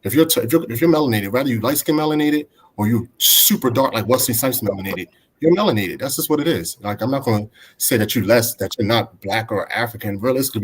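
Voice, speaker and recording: A man's voice: lowish voice, breathy edge. A man speaking as a panelist in a casual livestream discussion, emphatic and full of fillers. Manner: fast, emphatic, uses filler like. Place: livestream video call.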